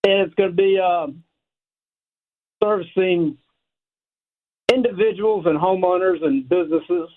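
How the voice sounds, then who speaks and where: Older american guy calling in on a thin phone line, higher pitched voice, folksy casual chat. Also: a little slow, long pauses. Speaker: radio show caller. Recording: narrow tinny phone line.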